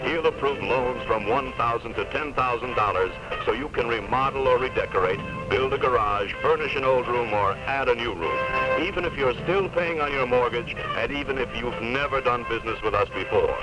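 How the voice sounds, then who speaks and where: A man's voice: mid-range voice, moderate pace. A man reading a scripted 1970s radio commercial as an announcer. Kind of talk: 1970s radio advertisement read. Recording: lo-fi 1976 AM radio with music bed.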